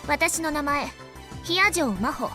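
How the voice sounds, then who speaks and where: A woman voicing a petite young female researcher in an anime-style game, with sharp, impatient retorts. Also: sits high, animated, fast. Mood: curt. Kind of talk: anime game voice acting in Japanese. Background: game background music.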